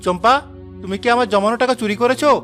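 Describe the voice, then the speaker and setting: A man's voice: very high-pitched, quick pace, a bit bright, faint music behind. A man playing a hardworking, hot-tempered village husband in a cartoon drama, exclaiming and firing off questions. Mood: shocked, accusing. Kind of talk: Bengali cartoon moral drama voice acting.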